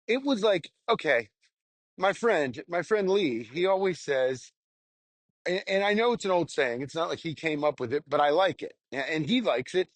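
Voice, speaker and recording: Halting, candid young man, dry close sound. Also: sits high, no echo. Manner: halting, candid, self-reflective, swears casually. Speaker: stand-up comedian. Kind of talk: casual rambling monologue, audio journal.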